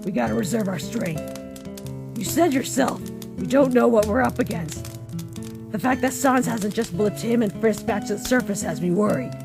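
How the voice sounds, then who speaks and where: A woman's voice: a little high, normal speed, creaky. A woman voicing a tough, protective monster warrior in a comic dub, blunt, casual and confident. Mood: wary, serious. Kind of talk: comic dub character acting. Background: music.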